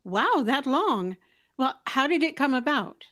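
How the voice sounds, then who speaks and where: A woman's voice: sits high, big pitch swings, measured pace, fairly bright tone. A woman acting as an announcer's sidekick in scripted radio sponsor banter, inquisitive and a little theatrical.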